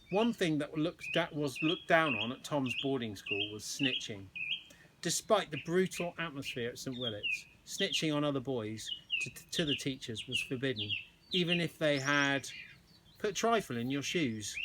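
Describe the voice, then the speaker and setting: Man reading a storybook, birdsong behind. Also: medium pitch. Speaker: primary school teacher reading aloud. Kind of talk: children's storybook narration. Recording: noisy outdoor recording with birdsong. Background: birds chirping.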